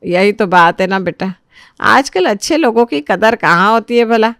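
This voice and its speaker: A woman's voice: mid-range voice, expressive, moderate pace, a bit bright, no echo, clean studio sound. A woman voicing a suspicious, bossy older mother-in-law in a dramatized family story. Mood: indignant, nosy.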